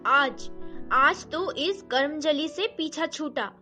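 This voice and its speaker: A woman acts out melodramatic women of a quarrelling household in an animated story. The voice is very high and piercingly bright, with slow, exaggerated delivery over background music. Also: exaggerated voice. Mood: scornful, complaining. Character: scheming, grumbling mother-in-law and her young daughters-in-law.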